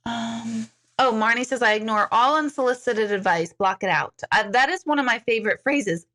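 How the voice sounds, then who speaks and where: A woman's voice: a little high, a bit bright. A middle-aged woman, an intuitive medium, chatting casually and rambling as she reads out and answers viewers' questions, laughing often. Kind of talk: casual live-stream chat.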